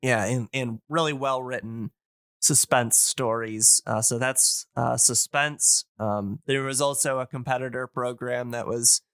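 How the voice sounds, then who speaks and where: A man in a casual explanatory podcast chat, talking in a hesitant, halting way as he thinks aloud. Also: medium pitch, fairly even intonation, a bit bright, clear, resonant tone, no echo, clean studio sound.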